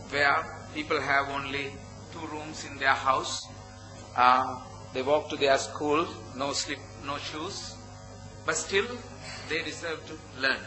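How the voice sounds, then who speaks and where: A man with a Sri Lankan accent giving an earnest conference presentation in short, halting phrases. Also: fairly high pitch, sharp tone, poor audio. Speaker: conference presenter, project founder. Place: conference hall at a hotel.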